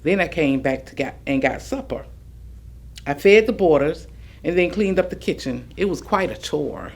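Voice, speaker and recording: Low-voiced performer as plain-spoken boarding-house worker. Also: male voice, lively intonation, normal speed. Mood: matter-of-fact. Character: plain-spoken working woman recalling hard boarding-house chores. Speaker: performer in a staged reading. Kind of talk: staged monologue of oral history recollections.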